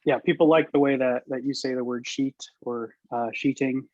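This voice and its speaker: A man's voice: medium pitch, steady tone, normal speed, darkish tone, no echo. A man hosting a webinar, relaxing into reading audience questions to the speaker. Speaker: webinar host. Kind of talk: moderating Q&A. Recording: dry, dull lo-fi mic.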